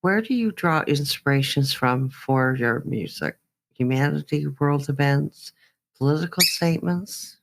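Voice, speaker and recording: Lady interviewer, medium low-ish pitch for a woman, gushy and encouraging, asking band questions. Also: measured pace. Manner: effusive, encouraging. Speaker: interview host. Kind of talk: music interview.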